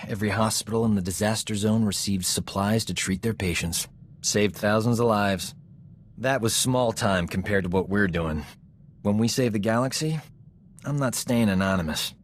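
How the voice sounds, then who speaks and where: An actor playing a cocky, flirtatious medic in a video game, smooth-talking and boastful, with a theatrical way of talking. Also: male voice, lowish voice, a little hiss or hum, natural voice. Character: cocky, flirtatious male medic, self-promoting charming rogue. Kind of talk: video game character dialogue.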